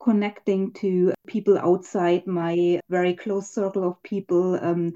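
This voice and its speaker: A woman with a lower, mellow voice explains her research as an interview guest. She is friendly and clear, with a few hesitations along the way. The sound is slightly muffled. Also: level tone, a little slow. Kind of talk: conversational interview answers.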